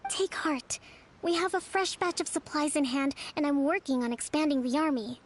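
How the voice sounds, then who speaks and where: A woman playing a composed, caring young resistance leader in a video game, speaking in a formal, measured, earnest way. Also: sits high, close to an everyday voice. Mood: reassuring. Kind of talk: scripted video game dialogue.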